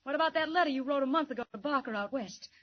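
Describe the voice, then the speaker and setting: A woman's voice: high-pitched, racing, lightly acted. A woman playing a frightened but defiant young secretary in an old 1940s radio drama, speaking with emphatic, breathless urgency. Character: frightened, defiant young secretary in hospital, telling her story. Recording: dull muffled 1940s radio recording.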